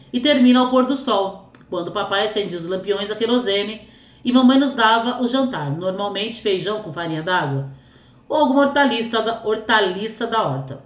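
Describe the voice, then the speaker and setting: Hoarse chatty woman, echoey room. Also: mid-range voice. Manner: chatty, fills speech with "né" and "assim". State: hoarse from a cold. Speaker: storytelling channel host. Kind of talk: reading a listener's life story aloud, with casual asides.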